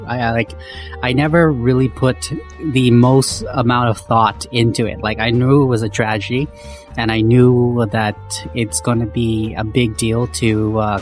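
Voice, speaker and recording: Podcast co-host, man, hoarse from poor sleep, rambling, faint music under. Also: medium pitch. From Canada. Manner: rambling, loose, many fillers and restarts. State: voice rough from lack of sleep. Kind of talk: casual chat.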